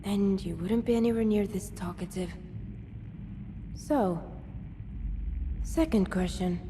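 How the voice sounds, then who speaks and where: Woman acting wary young survivor gal for a game, slow n dry, long reverb, noisy background. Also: medium pitch. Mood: wary, probing.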